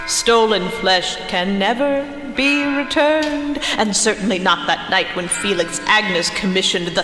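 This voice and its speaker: An actress plays a brooding female spirit of a bronze graveyard statue. She speaks slowly and solemnly, her voice ringing in a long reverb over prominent music. It is poetic audio drama. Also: medium pitch, close to an everyday voice. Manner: slow, solemn, poetic delivery. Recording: reverberant hall with music underneath.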